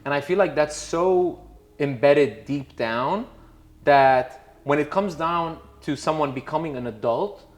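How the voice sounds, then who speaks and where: A man's voice: fairly high pitch, unhurried. A man from Lebanon speaking as a panelist in casual conversation, explaining his thoughts in a reflective way. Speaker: podcast panelist, entrepreneur.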